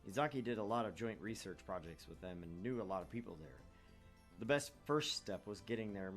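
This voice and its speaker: A man's voice: on the low side, expressive, brisk, a bit bright, faint music behind. A man hosting a gaming show, reading a visual novel aloud and commenting casually.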